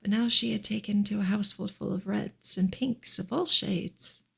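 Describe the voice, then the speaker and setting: A woman gives a soft ASMR reading of a book, breathy and often close to a whisper, in a gentle, measured way. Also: medium pitch, steady tone. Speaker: streamer reading a novel aloud.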